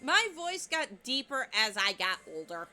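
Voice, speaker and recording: A woman's voice: fairly high pitch, sing-song, unhurried, bright, forceful tone. A woman with a light, youthful voice casually narrating a video game playthrough in a chatty, self-deprecating way. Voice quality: light, youthful voice she compares to a teen boy's. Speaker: let's play narrator. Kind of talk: casual gameplay commentary. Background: game music.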